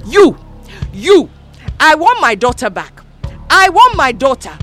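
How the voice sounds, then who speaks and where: Lady doing a furious shouty middle-aged mum, loud and high. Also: very animated, unhurried, soft background music, heavily acted. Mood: raging. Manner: shouting, demanding.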